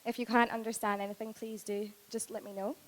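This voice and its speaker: A woman with a Scottish accent, hoarse from losing her voice, moderating a conference panel with friendly, chatty introductions. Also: on the high side, little pitch movement, a little fast. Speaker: university recruitment lead, panel moderator. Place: large hotel conference room. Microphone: handheld microphone, filmed by far camera. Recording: reverberant hall sound.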